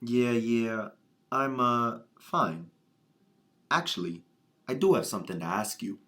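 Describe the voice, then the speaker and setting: A man's voice: medium pitch, slow pace, a bit bright. A man playing an earnest, wistful young man in a radio drama. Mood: earnest, eager. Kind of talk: scripted radio drama acting.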